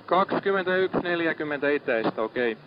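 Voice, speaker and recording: Male ship radio operator, slightly high pitch, procedural, confirms details, muffled marine radio recording. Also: expressive, very noisy. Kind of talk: maritime distress radio traffic.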